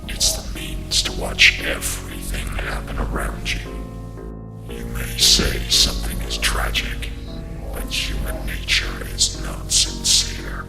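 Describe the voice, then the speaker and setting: A man voicing a sadistic demonic entity for a horror game, a taunting god of death with a breathy growl and a slow, menacing, theatrical delivery. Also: over music, natural voice. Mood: cold menace. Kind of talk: horror game villain voice lines.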